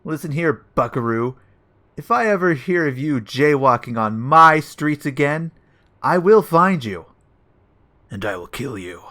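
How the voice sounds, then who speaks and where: A man's voice: on the high side, lively intonation, measured pace, sharp tone. A man playing a cocky, dim-witted police detective who talks tough and self-importantly in a comedic audio play. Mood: threatening. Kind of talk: comedic audio drama acting.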